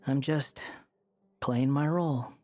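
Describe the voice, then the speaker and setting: Sardonic young man, very low for a female voice, deadpan, smug, game dialogue over soft music. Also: slow. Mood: guarded, reflective. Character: aloof, sardonic young man, a wandering schemer. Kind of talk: animated video game voice acting. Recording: music underneath.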